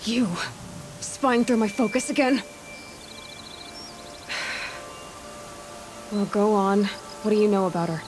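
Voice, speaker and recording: A woman's voice: medium pitch, moderate pace, over music, close to an everyday voice. A woman playing a determined, guarded young hunter in a video game, speaking directly with dry skepticism. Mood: irritated.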